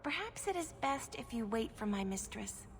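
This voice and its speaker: An actress playing a timid, sad elven seamstress in a video game, speaking hesitantly and deferentially. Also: female voice, a little high, very animated, moderate pace, a little hiss or hum. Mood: polite. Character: timid, sorrowful elven seamstress, polite abandoned wife.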